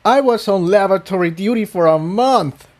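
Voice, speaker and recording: A man's voice: sits high, animated, normal speed, voice pushed up. A man voicing a good-humored male pony adventurer with a casual, wry manner in a story reading. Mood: amused.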